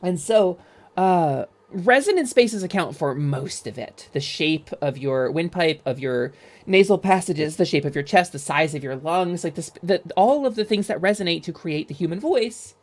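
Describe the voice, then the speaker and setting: A young adult woman streaming a game, chatting casually and excitably, swearing freely. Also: on the low side, moderate pace.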